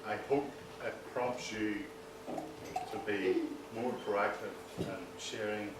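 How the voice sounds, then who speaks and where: A man giving an informal public speech as presenter of a local event, in a halting, measured way. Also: on the low side, steady tone. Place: large indoor hall at a public presentation. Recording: echoey hall, distant mic, audience noise.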